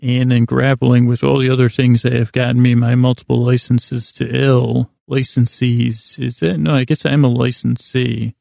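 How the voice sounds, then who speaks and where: Gravelly, meandering man podcasting. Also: mid-range voice, level tone, warm tone, no room sound, clean studio sound. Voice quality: gravelly, creaky voice. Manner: halting, meandering, self-deprecating, trails off and repeats words. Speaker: podcast host. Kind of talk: rambling bedtime monologue.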